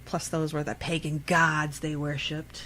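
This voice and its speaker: A woman's voice: low-pitched, flat delivery, measured pace, fairly bright tone, a little hiss or hum. A woman co-hosting a radio show, reading out trivia and chatting casually about it.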